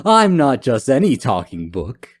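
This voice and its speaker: Slow, swooping courtly talking book. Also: male voice, mid-range voice, huge pitch swings, soft background music. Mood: proudly courteous. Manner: formal, grandly polite, drawn-out. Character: courtly talking book, loyal magical servant. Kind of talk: fantasy character acting.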